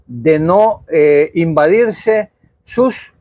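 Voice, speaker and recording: Older man, halting and dry. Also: fairly high pitch, darkish tone, no echo. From Honduras. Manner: halting, searches for words, gestures while talking. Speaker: historian guest. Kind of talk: casual interview. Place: small cluttered study with bookshelves.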